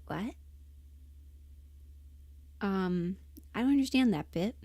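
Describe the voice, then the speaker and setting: Slow, rambling woman, close and dry. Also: medium pitch, lively intonation, mellow, no room sound. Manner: informal, rambling, muttering asides to herself. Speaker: livestream host and amateur voice performer. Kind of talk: casual livestream chat, thinking aloud.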